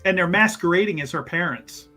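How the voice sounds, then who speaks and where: A man's voice: high-pitched, lively intonation, fairly quick, pushed and bright. A man playing a tabletop roleplaying game, rambling jokily through his character's plans with mock-grand phrasing. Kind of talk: casual playful in-game banter and planning. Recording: music behind the voice. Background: background music.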